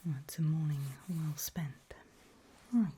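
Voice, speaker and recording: A woman doing a whispered ASMR roleplay as a kindly, curious scientist, speaking gently and politely in a breathy whisper. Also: deep voice, slow pace, a little hiss or hum. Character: kindly, curious research scientist interviewing an anomaly.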